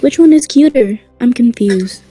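A woman playing a naive young anime woman with a very dark, breathy voice. She sounds indecisive and slow, pitched a bit high, over faint music. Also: lively intonation. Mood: puzzled. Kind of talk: anime fan comic dub.

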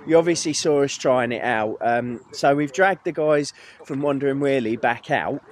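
A man's voice: mid-range voice, normal speed. A middle-aged British man hosting a vlog, chatting casually to camera in a jokey, teasing way. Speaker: vlog host. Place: camper van, farm yard and outdoor spots. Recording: clear ambient noise on camera audio.

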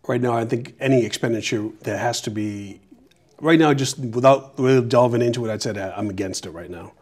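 Earnest man from Peru, halting answers. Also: mid-range voice, darkish tone. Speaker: mayoral candidate. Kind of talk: studio interview answers. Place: small TV studio. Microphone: lapel mic.

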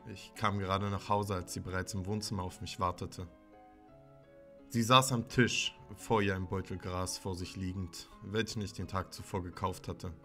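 Man as a brooding teenage son, bright low voice. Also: fairly even intonation, normal speed. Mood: bitter, brooding. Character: sullen, violent, troubled teenage son narrating. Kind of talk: scripted first-person horror narration. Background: music.

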